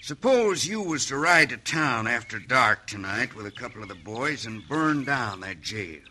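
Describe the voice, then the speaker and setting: Sharp, very bright cowboy outlaw boss. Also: male voice, mid-range voice, big pitch swings, moderate pace. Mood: sly scheming. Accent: American Western drawl. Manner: taunting, folksy menace. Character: Jocko Lemming, cocky, murderous male outlaw gang boss. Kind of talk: old-time radio western villain acting. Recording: faint noise, old radio recording.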